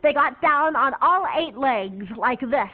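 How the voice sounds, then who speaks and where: A woman playing a high, paranoid young raver rambling dreamily to police officers, her voice tinny and lo-fi. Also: animated, a little slow. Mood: spaced-out. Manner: dreamy, babbling, whimpering. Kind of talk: comic stage monologue. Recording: tinny phone-like lo-fi recording.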